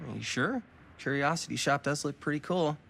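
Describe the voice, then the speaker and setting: A man's voice: on the high side, fairly quick, creaky, a bit bright. An actor playing a nervous but jokey young man, an amateur film actor, with an American accent and a casual, hesitant manner in a video game. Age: young adult. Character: nervous, self-assured-joking teenage guy, amateur actor. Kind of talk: naturalistic video game acting.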